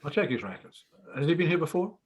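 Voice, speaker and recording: A man reading the part of a calm, probing young doctor in a script read, brisk and matter-of-fact. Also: on the high side, slightly rough. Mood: professional, probing. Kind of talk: actor's script table read.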